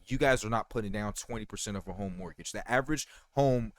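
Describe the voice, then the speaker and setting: A man's voice: lowish voice, quick pace, dry recording, studio quality. A man in his thirties giving casual, rambling reaction commentary, full of fillers and swearing and talking straight to his viewers. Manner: keeps saying "you guys" to viewers. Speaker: reaction video host.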